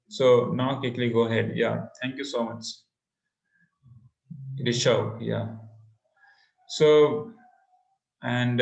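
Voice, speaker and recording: A man's voice: mid-range voice, gravelly. Indian man teaching online, echoey room. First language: Hindi. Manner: mixes English and Hindi, repeats phrases, encouraging. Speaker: online course instructor. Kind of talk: interactive webinar presentation. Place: indoor room, online video call.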